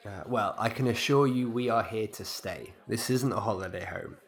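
A man's voice: lowish voice, moderate pace, close to an everyday voice. An actor playing a polite, curious semi-retired man new to a village, hesitant and inquisitive, in audio drama dialogue. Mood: hesitant, probing.

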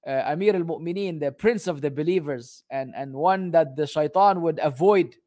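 High-pitched man teaching a religious lesson. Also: warm tone. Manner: halting English delivery, rapid fluent Arabic recitation. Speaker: Islamic teacher. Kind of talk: religious lesson reading Arabic text and translating into English.

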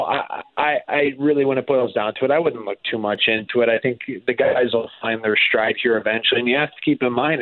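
A man talking sports as a radio play-by-play guest, conversational and wordy, with a folksy, self-deprecating way. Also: medium pitch. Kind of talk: conversational sports interview commentary. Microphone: phone. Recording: muffled, band-limited phone line.

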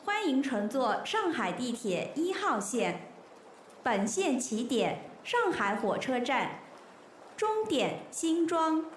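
A woman giving formal, scripted subway announcements in a welcoming, measured manner. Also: high-pitched, big pitch swings. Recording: echoey, noisy public announcement recording.

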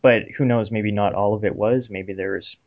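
A man's voice: lowish voice, fairly even intonation, moderate pace. A man with a Canadian accent, co-hosting a casual fan podcast in an easygoing way. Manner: easygoing, laughs often. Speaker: podcast co-host. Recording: slightly dull lo-fi audio.

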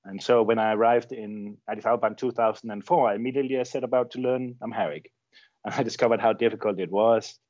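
A middle-aged man from Denmark, a journalist, answering interview questions in a measured, thoughtful way. He often repeats words while he looks for the phrasing. The sound is dull and dry, with no room echo. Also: on the low side, fairly even intonation, a little mellow. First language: Danish. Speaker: journalist guest. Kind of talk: interview conversation.

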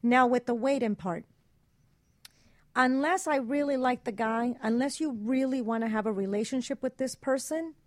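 Female talk radio co-host, fairly high voice, dry close sound, blunt and emphatic. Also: no echo. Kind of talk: opinionated talk radio discussion.

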